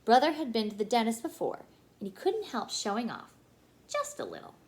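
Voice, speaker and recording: Woman doing storytime for little kids, super expressive, voice goes up and down, dramatic pauses. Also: fairly high pitch, a little hiss or hum. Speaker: children's storybook reader. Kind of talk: expressive read-aloud storytelling for young children.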